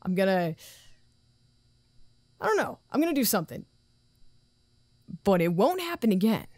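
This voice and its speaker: An actress playing an anxious but determined woman muttering haltingly to herself in an audio drama. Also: mid-range voice, very animated, darkish tone, no room sound. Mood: shaken resolve. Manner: halting, sighing, repeating herself.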